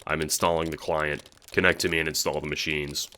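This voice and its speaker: Man playing deep-voiced sardonic young gamer. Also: brisk, over loud music. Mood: urgent sarcasm. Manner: slangy, profane, deadpan. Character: cool-guy young gamer, sweary, sardonic, easily rattled.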